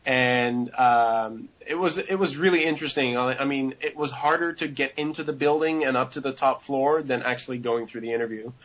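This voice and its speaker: A young man tells his story in a loose, conversational way, circling back with fillers and false starts. His voice sits at an ordinary pitch. The audio is dull and lo-fi. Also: moderate pace, hardly any pauses, fairly bright tone. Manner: rambling, many fillers and restarts. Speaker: interviewee. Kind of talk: casual interview answers.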